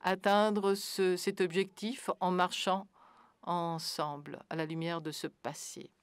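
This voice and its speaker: A woman interpreting into French at a press briefing, steady and careful with small fillers. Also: on the low side, slow, clear, resonant tone, no room sound. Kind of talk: simultaneous interpreting.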